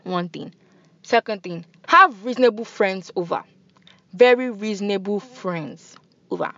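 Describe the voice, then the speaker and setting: A young woman with a Nigerian accent hosting a podcast in casual, animated chat. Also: medium pitch, a little slow, no room sound, lo-fi sound. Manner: chatty, animated, laughs and switches into pidgin. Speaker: podcast host.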